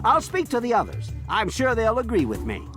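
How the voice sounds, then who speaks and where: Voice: mid-range voice, huge pitch swings, normal speed. An actor playing a weary, pragmatic wasteland settlement leader, matter-of-fact and obliging, as video game dialogue. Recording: music behind the voice.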